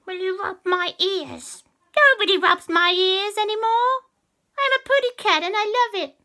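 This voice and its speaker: A woman voicing a female cat witch ghost who speaks high and drawn out, with purrs and cackles. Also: normal speed, sharp tone, heavily acted. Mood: coaxing.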